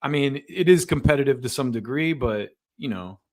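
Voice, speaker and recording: A man's voice: mid-range voice, animated, normal speed, darkish tone. A man hosting a live-stream interview, easygoing and agreeable, laughing along with his guest. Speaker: podcast host.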